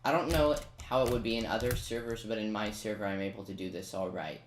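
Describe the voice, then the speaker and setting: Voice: medium pitch, fairly even intonation, fast talker. A person giving a casual step-by-step video game guide, talking directly to viewers with chatty asides. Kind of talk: casual step-by-step gameplay commentary. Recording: roomy echo, noisy, keyboard clicks.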